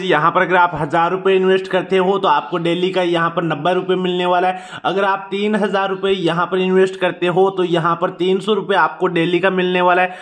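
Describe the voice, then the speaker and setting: High-pitched man narrating an app walkthrough. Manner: steady repetitive tutorial patter, Hinglish fillers. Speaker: YouTube app reviewer. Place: indoors, filming a phone screen.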